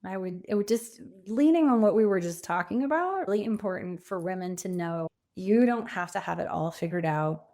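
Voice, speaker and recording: A woman's voice: mid-range voice, animated, moderate pace, hardly any pauses, warm tone. A middle-aged woman speaking as an interview guest, reflective and conversational. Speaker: podcast guest, coach and author.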